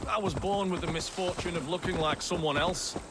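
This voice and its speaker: An actor playing a cowardly, wordy impostor lord in a video game, fussy and blustering with a nervous stutter. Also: male voice, high-pitched, fairly quick, background noise. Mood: anxious. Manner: fussy, florid wording, blustering. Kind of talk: video game character acting.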